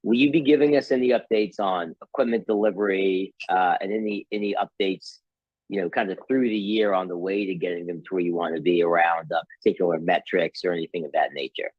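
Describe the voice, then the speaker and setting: A man speaking as a financial analyst, asking questions informally and haltingly on an earnings call over a dull lo-fi line. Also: low voice, steady tone, husky, no echo.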